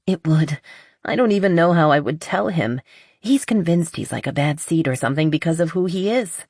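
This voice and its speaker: A woman voicing an anxious, evasive young romantic heroine in an audiobook, halting and pleading. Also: deep voice, animated, a little mellow, breathy edge, no echo, clean studio sound, full character voice. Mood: worried.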